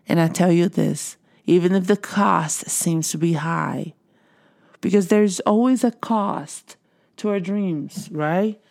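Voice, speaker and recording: A middle-aged Brazilian woman speaks English with her native Portuguese accent, in an earnest motivational talk to viewers that leans on repeated phrases. Her voice sits a little low and dark, with a gravelly fry, and she speaks slowly. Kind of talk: encouraging monologue to viewers.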